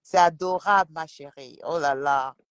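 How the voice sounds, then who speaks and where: A woman's voice: low-pitched, normal speed, dry recording. A woman hosting a casual live-stream chat, teasing and exclaiming often.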